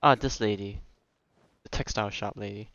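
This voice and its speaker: A man's voice: fairly low pitch, fairly even intonation, normal speed, gravelly, a bit bright, dry recording, highs cut off. A man giving casual, laid-back, slangy live commentary as he streams a video game.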